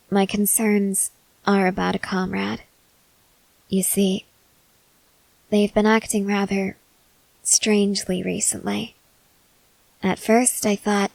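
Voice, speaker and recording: A woman playing a stoic, confident young woman soldier in an ASMR roleplay, speaking in a measured, serious, guarded way with hesitant pauses. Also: mid-range voice, creaky, a little mellow, no echo, low-quality recording. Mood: worried, grave. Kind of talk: ASMR roleplay, acted scene to a listener.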